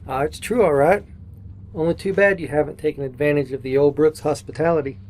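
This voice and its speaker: A man's voice: fairly high pitch, steady tone, fairly quick, a little mellow, a little hiss or hum, close to an everyday voice. A man acting in a radio play as a genial, hospitable married man with a hearty manner. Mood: cheerful. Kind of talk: old-time radio drama acting.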